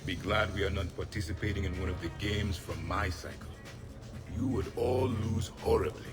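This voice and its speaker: A man plays an ancient alien warrior in a video game. His voice is low, his phrasing formal and full of proud disdain. Music plays clearly behind him. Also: normal speed, lightly acted. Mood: scornful. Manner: formal, haughty.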